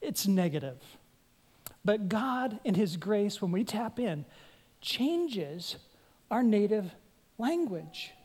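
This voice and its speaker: A woman's voice: medium pitch, big pitch swings, slow pace, pauses often, darkish tone, slightly rough. A woman pastor delivering an earnest sermon, putting questions to her congregation and pressing her points.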